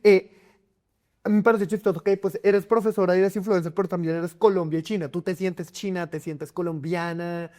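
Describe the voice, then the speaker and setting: A man's voice: high-pitched, fairly even intonation, fairly quick, mellow. A man interviewing a guest, asking loose, friendly questions with frequent restarts.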